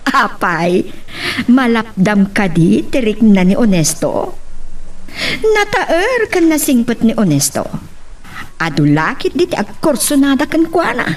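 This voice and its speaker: A woman's voice: a little high, very animated, a little slow, full character voice. A woman playing a haughty, suspicious, scheming madam in an Ilocano radio drama. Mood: indignant.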